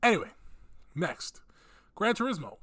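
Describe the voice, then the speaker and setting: A man's voice: high-pitched, sing-song, measured pace, pushed and bright, breathy edge. A man hosting a casual opinion video, rambling conversationally and thinking aloud with emphatic points. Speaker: video host giving opinions. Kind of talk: casual rant commentary on video game films.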